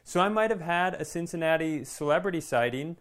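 A man co-hosting a comedy podcast, telling anecdotes casually with animated, self-deprecating delivery and some swearing. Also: a little high, fairly quick. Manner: animated storytelling with profanity.

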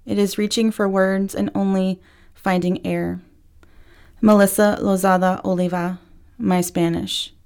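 A woman's voice: mid-range voice, darkish tone, smooth. A woman poet reading her own poem aloud. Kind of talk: poetry reading.

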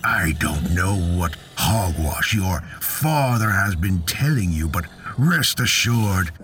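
A man's voice: low voice, animated, takes it slowly, a bit bright, slight background noise, exaggerated voice. A man voicing a stern, scolding mother who is a menacing child-eating dragon in a storybook tale. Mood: quietly menacing. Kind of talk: storybook character voice.

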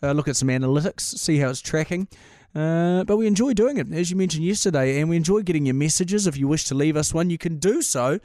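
A man with a New Zealand accent, hosting a radio podcast in casual chat, hoarse and losing his voice, teasing and chatty. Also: on the high side, animated, quick pace, hardly any pauses, darkish tone, dry recording, clean studio sound.

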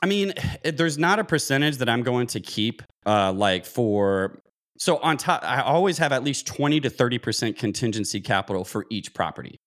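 A man's voice: medium pitch, expressive, moderate pace, a bit bright. A man in his thirties explaining things conversationally as an interview guest, thinking aloud and often restarting sentences. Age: 30s. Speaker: podcast guest, real estate investor.

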